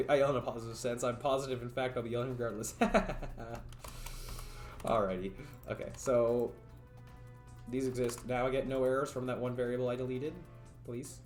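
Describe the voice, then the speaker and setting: Male streamer, casual think-aloud coder, slightly raspy voice, roomy echo. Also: mid-range voice, fairly even intonation, normal speed. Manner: thinks aloud, rambling, chats with viewers, frequent laughs. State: voice getting raspy from long talking. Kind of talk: casual livestream coding commentary. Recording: roomy echo, faint music.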